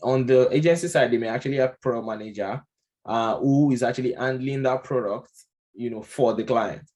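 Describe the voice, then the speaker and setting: Nigerian man giving a relaxed talk. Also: medium pitch, brisk. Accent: Nigerian English. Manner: conversational, many fillers and restarts, occasional chuckles. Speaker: product management instructor. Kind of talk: casual unscripted lecture.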